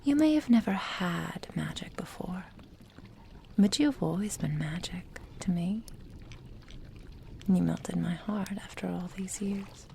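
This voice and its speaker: Slow, whispering immortal witch played by a woman. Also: lowish voice, mellow, slight background noise. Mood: adoring. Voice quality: breathy, whispery. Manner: slow, tender, intimate delivery. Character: doting, possessive immortal witch mentoring her beloved apprentice. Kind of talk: ASMR roleplay.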